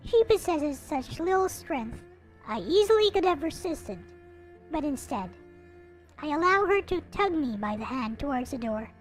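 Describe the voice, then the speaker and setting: High, animated woman reading a story aloud. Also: moderate pace, low-quality recording. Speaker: video host reading a visual novel aloud. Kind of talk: expressive dramatic read-aloud with casual commentary. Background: background music.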